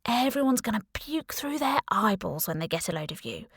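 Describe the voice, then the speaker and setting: A woman's voice: mid-range voice, sing-song, a little fast, husky, no room sound. Casting: woman playing a teen girl's inner devil for an audiobook, bored drawl, cutting sarcasm, dry close sound. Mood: mocking.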